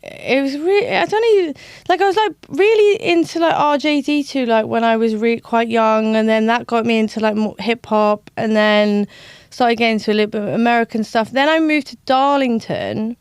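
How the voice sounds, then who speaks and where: Young woman guest, clear smooth tone, dry close sound, chatty storyteller. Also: fairly high pitch, normal speed, no echo. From London, raised in South Wales. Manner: chatty, filler-heavy storytelling. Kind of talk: casual podcast chat.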